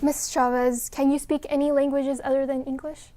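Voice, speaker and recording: This woman moderates a candidate forum, reading her questions in a measured, formal manner. Her voice sits high. She addresses each candidate in turn. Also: little pitch movement, dark tone, slight background noise.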